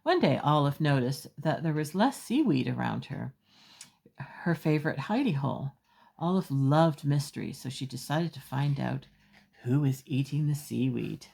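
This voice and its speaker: Woman, children's storyteller, low voice, slight creak, slow emphatic delivery, expressive read-aloud. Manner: simple, emphatic phrasing with small asides to young listeners.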